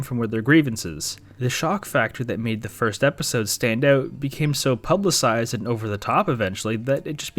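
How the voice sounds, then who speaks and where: Wry young man narrating a video essay. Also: mid-range voice. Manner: wry, sarcastic asides. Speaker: YouTube commentator.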